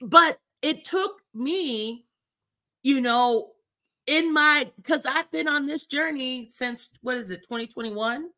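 A woman's voice: high voice, slow, pushed and bright. A woman in her fifties, a therapist, speaking earnestly and encouragingly in a faith talk conversation, with frequent restarts. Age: 50s. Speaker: therapist, co-host.

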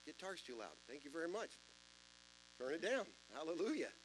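A man in his fifties with a deep voice, preaching a sermon in a church in a conversational way, with self-deprecating jokes and asides. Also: sing-song, moderate pace, slight background noise, rough recording. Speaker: pastor. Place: church sanctuary.

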